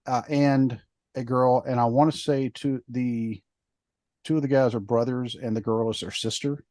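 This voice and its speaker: A man's voice: mid-range voice, a little mellow, no echo. A man co-hosting a podcast, chatting in a rambling way with lots of fillers. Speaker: podcast co-host. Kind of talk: casual podcast chat.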